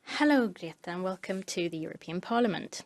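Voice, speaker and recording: Woman interpreting a speech live, smooth and steady, lower voice. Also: a little fast. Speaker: parliamentary interpreter. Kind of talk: simultaneous interpretation of a committee speech.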